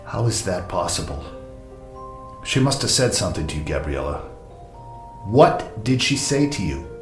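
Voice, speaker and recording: A man's voice: sits low, very animated, moderate pace, roomy sound, over loud music. A man playing a stern police detective in an audio drama, blunt and pressing in his questioning. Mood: shocked, suspicious.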